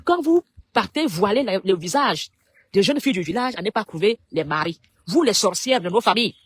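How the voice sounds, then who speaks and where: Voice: mid-range voice, animated, fairly quick, breathy edge. A speaker from Cameroon delivers a preachy, rhetorical political monologue to the public. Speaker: political commentator.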